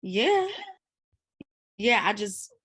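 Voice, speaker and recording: A woman's voice: mid-range voice, sing-song, drawn-out words, a bit bright, slightly rough, heavily acted. A woman acting a bold, precocious fifteen-year-old girl with thuggish charm in a script read, complaining and slangy. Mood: cocky complaint.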